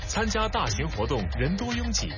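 Lively man reading a safety notice. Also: sits high, brisk, highs cut off, music in the background. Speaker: announcer. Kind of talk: public service announcement.

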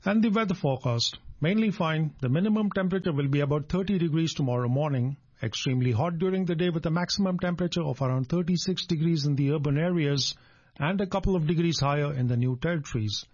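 A man's voice: fairly high pitch, quick pace, darkish tone, highs cut off. A man reading a scripted radio news bulletin as the presenter.

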